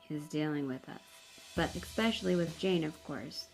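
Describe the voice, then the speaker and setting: A middle-aged woman reads a book aloud in a measured, deliberate way, as in an audiobook. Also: low-pitched, gravelly, rough recording. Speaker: reader of a book for online videos. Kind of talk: unhurried read-aloud narration of a book. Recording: music behind the voice. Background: faint background music.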